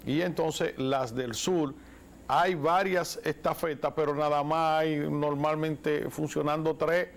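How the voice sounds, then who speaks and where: A man in his forties with a Dominican accent, co-hosting a studio talk show and giving lively commentary. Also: a little high, slow. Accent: Dominican Spanish. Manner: animated, gestures with both hands. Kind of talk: political commentary. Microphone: lapel mic.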